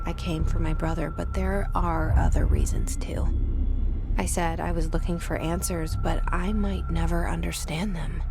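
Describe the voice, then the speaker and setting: A woman's voice: lowish voice, vocal fry. A woman playing a guarded, searching young outsider heroine in a video game, speaking in an understated, introspective way. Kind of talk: naturalistic video game dialogue and inner monologue.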